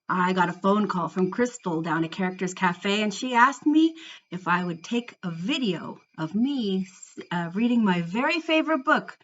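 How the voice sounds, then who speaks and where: A woman playing a jolly, affectionate Santa Claus, reading festive storybook verse to children with an expressive, deliberate delivery. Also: mid-range voice. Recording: dull lo-fi home video sound.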